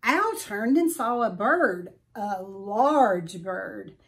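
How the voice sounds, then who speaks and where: A middle-aged woman reading a children's story aloud with deliberate, expressive emphasis. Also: medium pitch. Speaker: storybook reader. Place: small furnished room at home.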